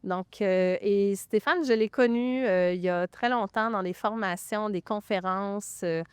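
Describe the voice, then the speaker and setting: A Québécois woman in casual interview chat, full of energy about her work and often hesitating on fillers. Her voice is smooth and clear, in the middle of the usual pitch for a woman. The sound is dry, with no room echo. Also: measured pace. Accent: Québécois French. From Quebec (Magog). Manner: enthusiastic, talks with lots of hesitation fillers. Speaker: real estate broker, guest.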